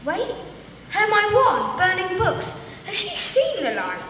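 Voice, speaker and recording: Young woman as a snarky high-voiced pupil, very echoey. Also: huge pitch swings, slow, sharp tone, full character voice. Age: young adult. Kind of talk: comedic radio play acting. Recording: very echoey hall, distant mic, faint noise.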